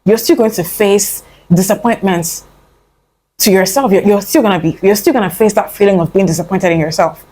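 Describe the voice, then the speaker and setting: A woman's voice: mid-range voice, brisk, dark tone, low-quality recording. A young adult woman vlogging a casual motivational talk, earnest and coaxing, rambling with many fillers and restarts as she pleads with her listener. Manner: earnest, coaxing, calls the listener "my love" and "honey".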